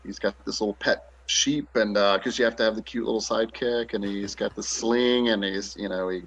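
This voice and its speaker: A man's voice: on the low side, fairly even intonation, fairly quick, a bit bright, husky. A man speaking casually as a show guest, with a joking, self-deprecating manner. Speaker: guest, actor and show producer. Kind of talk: casual chat.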